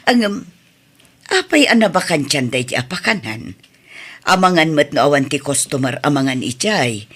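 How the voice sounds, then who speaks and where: Husky, lively-voiced mother, as a woman plays her. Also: low-pitched, measured pace, long pauses. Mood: tender. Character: loving mother, middle-aged.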